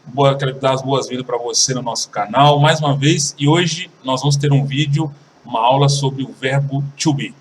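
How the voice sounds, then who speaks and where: A Brazilian man teaching an English lesson, switching between languages and repeating example sentences slowly and carefully. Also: mid-range voice, far from the mic, slight background noise, rough recording. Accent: Brazilian Portuguese.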